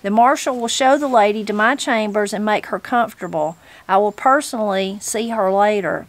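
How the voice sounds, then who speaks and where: A woman's voice: medium pitch, moderate pace, no echo, natural voice. A woman reading the part of a grave, courteous male judge, formal and pleasant, in an audiobook story.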